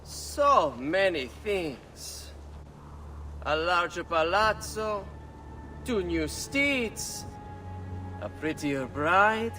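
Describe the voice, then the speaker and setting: A man's voice: high-pitched, lively intonation, drawn-out words, pauses often, bright, forceful tone, distant mic, faint music behind, full character voice. An actor playing a smug, cruel young Italian nobleman, a video game villain with an Italian accent and a sneering, theatrical delivery. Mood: gloating. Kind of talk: video game cutscene acting.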